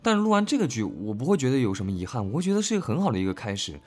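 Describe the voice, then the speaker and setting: A male voice actor talking casually and reflectively about his work, earnest and halting, often repeating his phrases. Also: mid-range voice, very animated, darkish tone. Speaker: voice actor discussing an audio drama. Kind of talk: casual, reflective cast chat.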